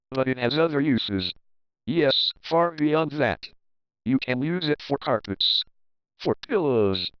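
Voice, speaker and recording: A man's voice: medium pitch, clean tone, no echo, lo-fi sound. A man playing a secretive old hermit storyteller, greedy and defensive, reciting dramatically in rhyme.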